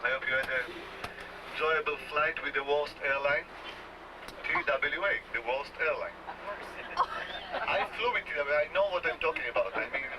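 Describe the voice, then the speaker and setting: A man from Israel with an Israeli accent, whose first language is Hebrew, giving a chatty, joking welcome talk as a tour guide. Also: a little high. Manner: joking, chatty, explains slowly. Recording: distant home video, road noise.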